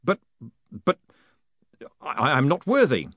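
A man voicing a quick-witted young conman turned postmaster, wry and a little anxious. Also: a little high, wildly swooping pitch, a bit bright, dry recording, dull audio, pitched above the performer's own voice, full character voice.

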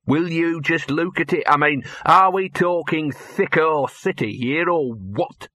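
A man's voice: medium pitch, very animated, unhurried, fairly bright tone, dry recording. A man voicing a scruffy, cynical little mongrel dog with a sarcastic, sneering way of talking. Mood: withering. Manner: withering, sneering, muttering out of the corner of the mouth.

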